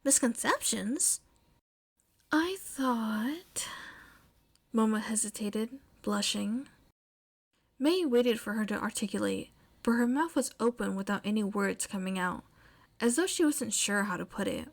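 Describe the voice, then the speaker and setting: A woman's voice: medium pitch, moderate pace, gravelly. A woman reading scripted fiction aloud as an audiobook-style narrator. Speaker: podfic narrator. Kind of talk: scripted story narration, with casual chat afterwards.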